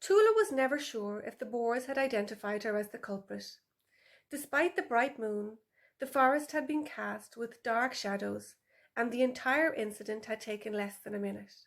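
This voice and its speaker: A woman's voice: fairly high pitch, moderate pace, creaky. A woman author reading her animal story for older children as scripted narration.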